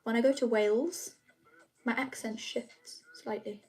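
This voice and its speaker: A woman's voice: a little high, fairly even intonation, a little slow, darkish tone, soft background music. A young woman chatting casually with her viewers on a live stream, rambling with lots of fillers. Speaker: vlogger answering chat.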